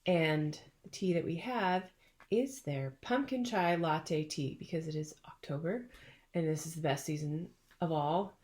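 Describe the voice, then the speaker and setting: A woman's voice: deep voice, a little slow, darkish tone. A middle-aged woman hosting a casual chat, telling stories in a chatty, playful way and laughing often. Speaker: podcast host.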